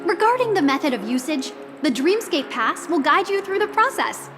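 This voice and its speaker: A woman's voice: extremely high pitch, big pitch swings, normal speed. A woman playing a courteous hotel receptionist in a video game, speaking formally and politely as if serving esteemed guests. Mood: polite, welcoming. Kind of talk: scripted English video game dialogue. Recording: prominent music behind the voice.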